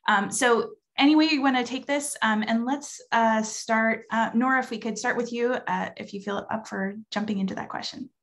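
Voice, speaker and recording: A woman's voice: a little high, fairly even intonation, normal speed, hardly any pauses, fairly bright tone. A woman chairing a panel, informally thanking guests and putting questions to them. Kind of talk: informal moderating.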